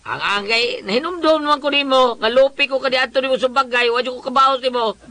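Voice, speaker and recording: A man's voice: very high-pitched, steady tone, a little fast, pushed and bright, no room sound. An actor with a Cebuano Filipino accent playing a stubborn, hot-headed man with a grudge, blustering and grumbling in a comedy radio drama. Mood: defiant.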